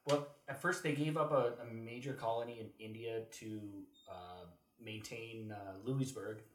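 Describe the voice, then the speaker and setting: A man co-hosting a casual history chat, haltingly searching for details as he talks, with a roomy echo around his voice. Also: medium pitch, steady tone. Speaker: podcast co-host. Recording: roomy, echoing sound.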